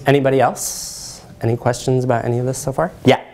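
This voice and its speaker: A man's voice: medium pitch, steady tone, fast talker, background noise. A middle-aged man lecturing in a conversational way as he presents research and takes questions. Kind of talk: conversational academic talk with questions. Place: lecture room, indoors.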